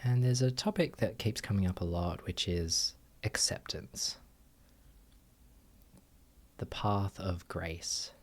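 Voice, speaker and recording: A man guiding a meditation as a teacher, giving gentle instructions with long pauses. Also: low-pitched. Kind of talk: guided meditation.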